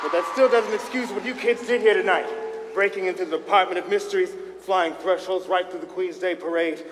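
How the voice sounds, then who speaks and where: A man's voice: high-pitched, brisk, soft background music, close to an everyday voice. A man playing a swaggering, jive-talking wizard police chief in a comedy staged reading, brash and commanding. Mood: stern. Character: swaggering male wizard police chief, funky cop-movie lawman. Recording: reverberant hall, faint noise.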